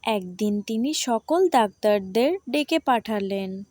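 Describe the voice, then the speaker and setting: A woman narrates a scripted tale as a storyteller. She speaks slowly and deliberately at a middle pitch, and the recording is dry and close. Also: expressive, darkish tone, dry recording.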